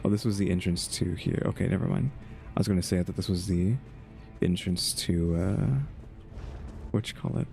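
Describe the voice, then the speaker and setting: A man's voice: deep voice, steady tone, normal speed, gravelly, over music. A man giving casual video game commentary, muttering and thinking aloud as he plays. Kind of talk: casual gameplay commentary, reading quest text.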